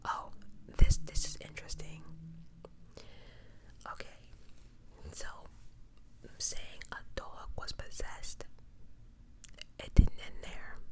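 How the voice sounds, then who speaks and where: A woman whispering softly and breathily as an ASMR host, reading aloud with informal, incredulous asides. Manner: informal, incredulous commentary between read passages. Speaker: host of true crime ASMR channel.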